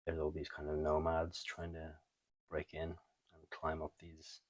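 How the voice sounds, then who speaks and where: Very deep-voiced man, low-key and monotone. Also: measured pace, no echo. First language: not French. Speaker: host of a comic book review show. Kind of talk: casual unscripted show-and-tell commentary.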